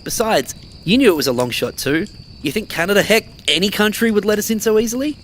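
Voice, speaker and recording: A man's voice: high voice, animated, fast talker. Male survivor for apocalyptic audio drama, actor pragmatic, blunt, exasperated, naturalistic delivery, faint background noise. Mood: skeptical. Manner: blunt, argumentative.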